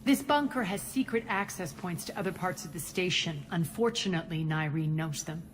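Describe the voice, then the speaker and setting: A woman's voice: on the low side, normal speed, a little hiss or hum, lightly acted. An actress playing a ruthless female crime boss in a video game, commanding and clipped with a cool sardonic edge. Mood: irritated, determined. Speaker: gang leader and commander. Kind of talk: video game cutscene acting.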